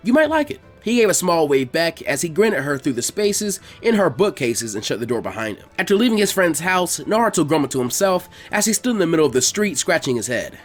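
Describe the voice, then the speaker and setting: Brisk, high-pitched male narrator. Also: big pitch swings, faint music behind. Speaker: narrator of fan fiction readings. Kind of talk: scripted story narration.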